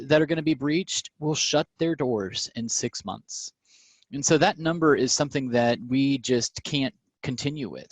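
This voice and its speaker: A man from southern Louisiana gives an informal online presentation. His voice is mid-pitched and conversational, and the sound is dry with no room echo. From southern Louisiana, United States. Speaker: presenter, small business cybersecurity advisor. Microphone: remote conference call.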